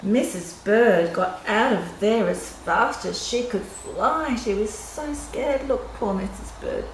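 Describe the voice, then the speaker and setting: Slow-paced older woman reading a children's story. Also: mid-range voice. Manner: expressive, simple explanatory asides to young listeners. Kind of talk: children's story read-aloud. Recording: roomy echo with clear background noise.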